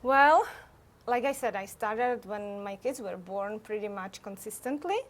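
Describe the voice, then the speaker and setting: A middle-aged woman from Czechoslovakia with a Czech accent, talking as a guest in a casual interview, halting with fillers. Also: mid-range voice, slight background noise. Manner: halting, with many fillers and frequent laughter. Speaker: guest, photographer.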